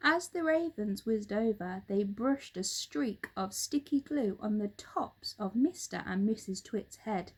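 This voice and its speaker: A woman reading a children's story aloud expressively, with dramatic, deliberate phrasing. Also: medium pitch, animated, a little mellow, breathy edge.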